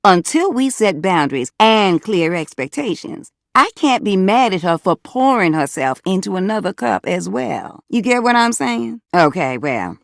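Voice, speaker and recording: A sassy, folksy American lady from a video game, a household fixture come to life. Her pitch swings widely as she coaxes and teases in colloquial talk. She is warm-hearted, and the recording is close and dry. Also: big pitch swings, moderate pace, creaky, few pauses, no room sound. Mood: folksy, candid. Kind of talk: video game character dialogue.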